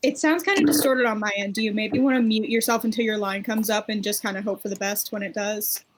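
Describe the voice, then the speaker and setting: A woman's voice: mid-range voice, fairly even intonation, quick pace. A woman hosting casually on an online voice call, organising and checking in with the group. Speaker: host organising a live stream. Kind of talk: casual chat. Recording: faint noise and clicks, online voice call.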